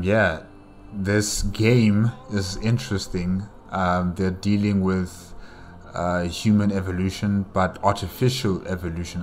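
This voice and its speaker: A man giving casual solo reaction commentary as host, halting as he searches for words. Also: low voice.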